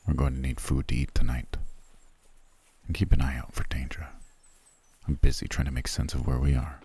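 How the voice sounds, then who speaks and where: A man playing a cold, haughty soldier prince in a fantasy audio roleplay, curt and disdainful, with a gruff, breathy voice. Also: flat delivery, normal speed, mellow. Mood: commanding, dry. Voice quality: very deep, gravelly, breathy. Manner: curt, disdainful, dry, low-key grumbling. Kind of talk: ASMR roleplay acting.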